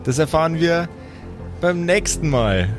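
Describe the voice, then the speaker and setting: A man's voice: fairly high pitch, expressive, a little slow. A man narrating an improvised tabletop game as game master, theatrical and teasing between bits of casual banter. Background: background music.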